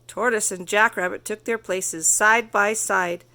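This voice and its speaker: A woman reading a children's story aloud as narrator, expressive and carefully phrased. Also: mid-range voice, measured pace. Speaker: storybook reader.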